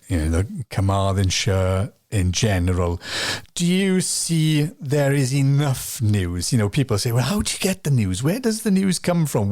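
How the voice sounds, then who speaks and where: A man interviewing a graduate in a conversational, rambling way, restarting his questions and rising in pitch as he asks them. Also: darkish tone, no room sound, studio quality. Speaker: interviewer, news site host.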